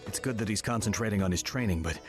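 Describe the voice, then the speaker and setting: An actor playing a sober, thoughtful ninja in a conversational video game dub. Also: male voice, lowish voice, quick pace, lightly acted. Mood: pensive. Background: game music.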